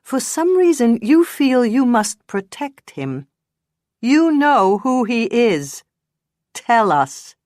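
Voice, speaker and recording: A woman's voice: a little high, big pitch swings, slow pace, darkish tone, dry recording, studio quality, voice pushed up. A woman voicing a stern female police detective who questions without sympathy. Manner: firm, cold, pressing questions.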